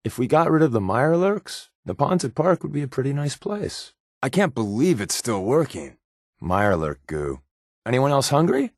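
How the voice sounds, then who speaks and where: An American actor playing a sardonic, wisecracking secret agent companion in a video game, with a wry, offhand delivery. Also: male voice, medium pitch, big pitch swings, normal speed, dry recording, clean studio sound. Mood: dry, joking.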